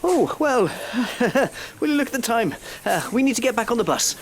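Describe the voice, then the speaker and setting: An actor playing a well-meaning, educated family doctor with a British accent in an audio play, speaking in a hesitant, articulate, slightly prim way. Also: male voice, extremely high pitch, big pitch swings, normal speed, slight background noise. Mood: flustered. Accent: British English.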